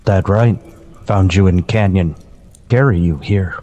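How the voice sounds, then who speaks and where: A man's voice: sits low, measured pace, mellow, lo-fi sound. A man playing a stoic, kindly rescuer in a radio western, speaking in terse broken sentences. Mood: calm, stoic. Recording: long cavernous reverb.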